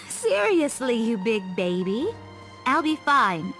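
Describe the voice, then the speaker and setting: A playful schoolteacher with a high, very animated voice as a woman reads her part in a visual novel. She is breezy, stubborn and motherly. There is faint music behind her. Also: slow, full character voice. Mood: breezy, reassuring. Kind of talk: visual novel dialogue read aloud.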